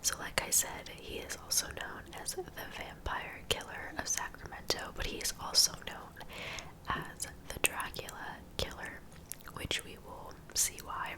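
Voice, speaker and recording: A woman hosting an ASMR true crime video in a soft, breathy whisper, chatting casually to viewers as she narrates. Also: poor audio. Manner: casual, conversational asides to viewers.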